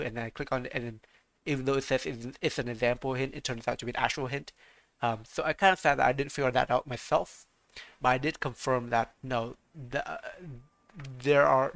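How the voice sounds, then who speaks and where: Male streamer, casual commentary, gravelly, halting, chatty. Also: mid-range voice, a bit bright, dry recording. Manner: halting, many fillers and restarts, chatty. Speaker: streamer playing a game.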